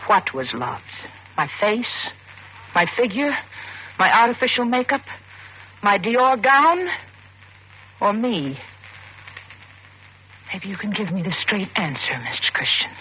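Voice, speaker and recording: An actress playing an embittered young murder suspect with intense, theatrical delivery in an old-time radio suspense drama. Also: female voice, mid-range voice, big pitch swings, slow pace, long pauses. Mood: bitter, searching. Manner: intense, theatrical, rhetorical questioning. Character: young, beautiful, embittered wife accused of killing her husband. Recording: muffled, noisy vintage radio recording.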